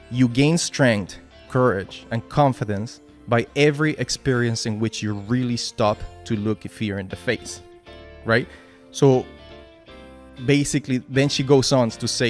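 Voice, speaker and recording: Spanish-accented man giving a halting pep talk. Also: mid-range voice. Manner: halting, many restarts and "right?" tags. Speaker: team lead giving a motivational talk. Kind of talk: informal motivational talk at a work meeting.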